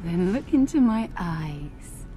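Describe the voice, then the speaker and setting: A woman's voice: fairly low pitch, sing-song, slow, dark tone, faint music behind, rough recording. A woman voicing a seductive, playful succubus in a video game, with sultry, teasing, languid delivery. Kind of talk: video game cutscene acting.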